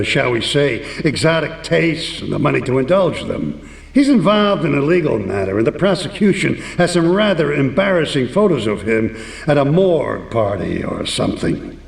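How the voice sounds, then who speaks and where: An actor playing a cynical, corrupt middle-aged cop who talks in a gruff, sneering street manner. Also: male voice, mid-range voice, sing-song, normal speed, echoey room, in a large hall, distant mic. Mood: scheming.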